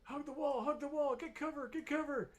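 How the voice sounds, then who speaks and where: A man's voice: very high voice, fairly even intonation, brisk, pitched above the performer's own voice. A man voicing a frightened, jumpy woman fighter in a tabletop game, shrieking in alarm. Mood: panicked.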